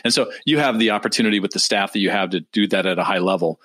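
A man's voice: on the low side, fast, fairly bright tone, no echo, studio quality. A man hosting a podcast interview, affirming the guest and asking long questions. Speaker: podcast host.

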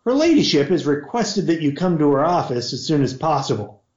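A man voicing a formal, deferential male butler who speaks in a measured way. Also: a little high, big pitch swings, darkish tone. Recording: roomy, dull lo-fi audio.

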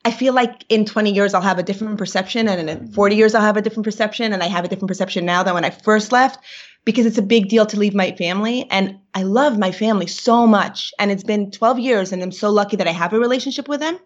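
A woman in her thirties from New York whose first language is Yiddish speaks reflectively, often pausing to search for the right word. Her voice sits at a middle pitch. The recording sounds muffled and lo-fi. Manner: reflective, searching for words, many fillers. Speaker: guest. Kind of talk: personal interview. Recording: dull lo-fi recording.

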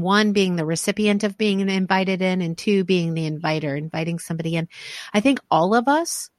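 A woman's voice: mid-range voice, dry recording, studio quality. A woman hosting a podcast interview, talking in a reflective, affirming way, with frequent fillers and restarts. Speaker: podcast host. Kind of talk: conversational interview.

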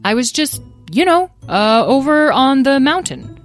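A woman's voice: high-pitched, sing-song, a little slow, faint music behind, voice pushed up, exaggerated voice. A woman voicing a young dragon child in a children's story, sheepish and defensive, with hesitant, earnest protests.

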